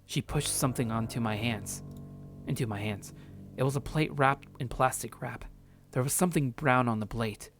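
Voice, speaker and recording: A man's voice: mid-range voice, moderate pace, noisy background, natural voice. A man playing a paranoid, sardonic young man narrating his journal in a visual novel, curt and brooding. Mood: tense narration.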